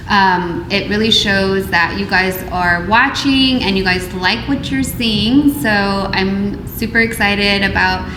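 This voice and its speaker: A woman's voice: mid-range voice, fairly bright tone. A woman hosts a casual interview with a loose, chatty delivery full of ums and restarts. Her voice rings in an echoey room, with a faint hum underneath. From United States. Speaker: podcast host.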